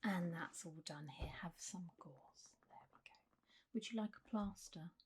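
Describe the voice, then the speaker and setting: A woman playing a calm zombie doctor in a soft-spoken ASMR roleplay, gentle, reassuring and a little hesitant, sometimes slipping towards a whisper. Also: fairly low pitch, a little slow, a little hiss or hum, close to an everyday voice. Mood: soothing, attentive.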